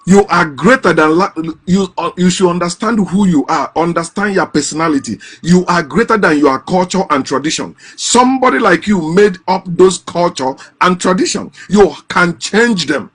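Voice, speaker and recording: Impassioned man, fairly high voice. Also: expressive, moderate pace, few pauses, a bit bright, breathy edge. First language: Igbo. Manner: impassioned, repeats and restarts phrases. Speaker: host. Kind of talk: casual monologue.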